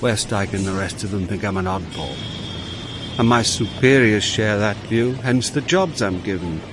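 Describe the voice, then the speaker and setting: A man's voice: lowish voice, moderate pace, background noise, lightly acted. An actor playing a wry, world-weary police inspector who narrates his own story in a radio drama. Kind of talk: radio drama acting with first-person narration.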